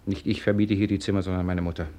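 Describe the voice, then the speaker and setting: A man playing an irritable, proud young Russian gentleman in a 1950s German radio drama, agitated and sharp-tongued. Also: deep voice, level tone, fast talker, a little hiss or hum, natural voice. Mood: tense, grudging.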